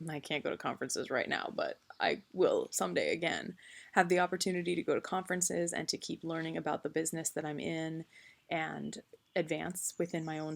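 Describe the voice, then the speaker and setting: A woman in her late thirties speaks in a casual, reflective vlog, pausing often with ums. Her voice sits fairly low and carries a noticeable gravelly fry. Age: 30s. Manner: earnest and reflective, frequent ums. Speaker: vlogger. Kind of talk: casual talk to camera.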